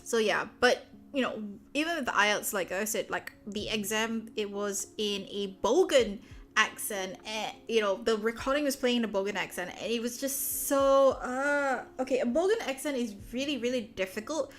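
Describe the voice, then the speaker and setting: Malaysian woman streamer, a touch high, loose rambling chat full of fillers. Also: moderate pace. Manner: rambling, many fillers and restarts. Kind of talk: casual chat.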